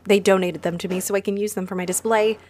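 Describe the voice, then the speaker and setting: A woman's voice: mid-range voice, fairly even intonation, fast, darkish tone, close to an everyday voice. A woman playing a friendly, easily flustered vampire who runs a cozy cafe, chatty and apologetic, in a roleplay audio drama spoken to the listener. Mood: cheerful, chatty.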